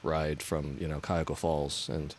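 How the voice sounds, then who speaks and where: A man's voice: extremely low pitch, little pitch movement, moderate pace. A man hosting a podcast, talking casually with lots of fillers and hesitation.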